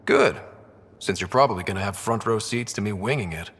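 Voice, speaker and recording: An actor playing a young human expedition leader in a video game, curious and dryly teasing, with a husky, conversational voice. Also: male voice, lowish voice, expressive, a little fast, fairly bright tone, full character voice. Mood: dry, casual. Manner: conversational, inquisitive, dry. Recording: long reverb.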